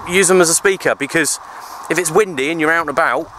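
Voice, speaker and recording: A man's voice: fairly high pitch, quick pace, bright, forceful tone. Englishman rambling over noisy outdoor audio. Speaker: radio hobbyist vlogger. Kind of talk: casual outdoor vlog chat. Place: outdoors, open parkland in wind. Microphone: handheld camera at arm's length.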